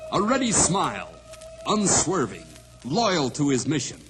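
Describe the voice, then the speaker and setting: An actor playing a heroic, grandiose announcer of a vintage radio adventure serial. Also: male voice, fairly high pitch, huge pitch swings, unhurried. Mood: stirring. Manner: grandiose, proclaiming. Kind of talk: dramatic mid-century radio serial announcing. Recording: old radio recording, loud music. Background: loud music.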